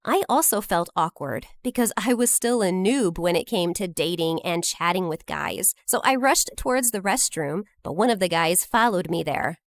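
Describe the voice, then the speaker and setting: A woman narrating a scripted first-person story in an expressive, dramatic teenage-girl style. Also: on the low side, moderate pace, few pauses, no room sound.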